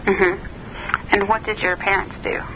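Flat-voiced woman interviewer, noisy line. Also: medium pitch, a little slow, muffled recording. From Ohio. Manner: gentle prompting with mm-hmms and ums. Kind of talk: oral history interview.

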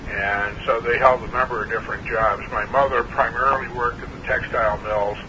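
A man's voice: mid-range voice, steady tone, fairly quick, lo-fi sound, noisy background. A man in his sixties from New Hampshire, recalling his life in an oral history interview, hesitant with many fillers and a frog in his throat. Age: 60s.

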